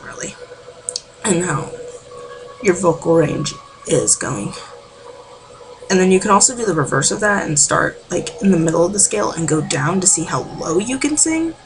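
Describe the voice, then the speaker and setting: A woman giving a casual, rambling vocal warm-up tutorial from home, full of filler words. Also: fairly low pitch, background noise. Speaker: amateur singing tutor.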